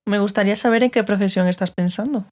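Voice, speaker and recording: An actress playing a stern, sardonic sorceress, curt and authoritative, in a dramatized fantasy audiobook. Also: female voice, mid-range voice, quick pace, darkish tone, lightly acted. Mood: wry. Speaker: audiobook actor.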